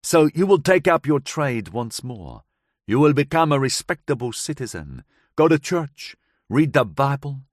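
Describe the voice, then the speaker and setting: A man reading a storybook plays a hardened woman who speaks slightly broken English and relives old crimes with bitter intensity. Her voice sits higher than the narrator's and swings widely in pitch. It is recorded close and dry. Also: big pitch swings, measured pace, no room sound. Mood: grim storytelling. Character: hardened vengeful woman recounting her past.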